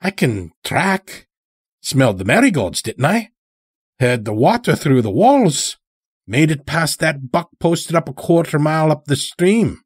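A weary, sly old werewolf elder played by a male narrator in a horror tale, talking in a folksy rustic drawl. The voice is husky and lifted in pitch, and it swings freely up and down. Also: sing-song, unhurried, no echo, studio quality, heavily acted. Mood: weary, coaxing. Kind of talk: dramatic horror audio storytelling.

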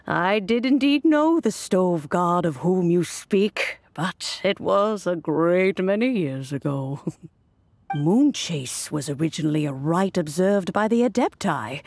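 Kindly elderly woman, lowish voice, lively intonation, wise fantasy game mentor, music underneath. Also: exaggerated voice. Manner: unhurried, gently chuckling, reflective. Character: kindly, serene old woman, wise storytelling master. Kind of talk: scripted fantasy game dialogue.

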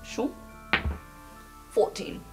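A woman's voice: extremely slow, mellow. A woman playing a tabletop game, improvising her character's actions in a casual style. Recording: music bed under the voice. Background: background music.

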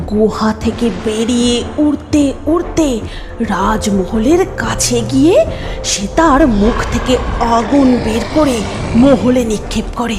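Slow, higher-pitched woman storyteller. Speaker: narrator. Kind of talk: scripted fairy-tale narration.

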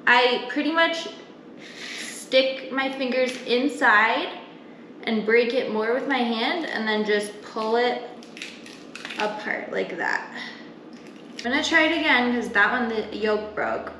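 A young woman talks through a cooking demo at a slightly unhurried pace. Her delivery is informal and explanatory, recorded in a roomy, echoey kitchen with some noise behind her. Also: fairly high pitch, fairly bright tone. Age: young adult. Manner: informal, explanatory, talking while working with her hands. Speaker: home cooking vlogger. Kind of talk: casual step-by-step cooking demonstration. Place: home kitchen, indoors. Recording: roomy kitchen echo, clear background noise.

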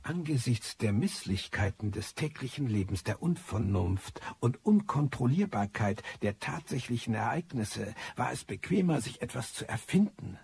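Radio play narrator: bitter, reflective male loner, very raspy, middling pitch. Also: sing-song, moderate pace, few pauses, darkish tone, full character voice. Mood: cynical. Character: disillusioned, bitter male loner, obsessive computer eavesdropper. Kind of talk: first-person radio drama narration.

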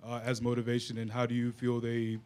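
Flat-toned male reporter, medium pitch. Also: brisk. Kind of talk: press conference question.

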